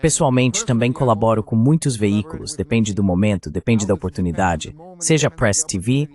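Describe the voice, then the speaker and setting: A man's voice: lowish voice, moderate pace, a little mellow. A man with a Brazilian accent speaking as an interview guest, giving political analysis in a translated voice-over.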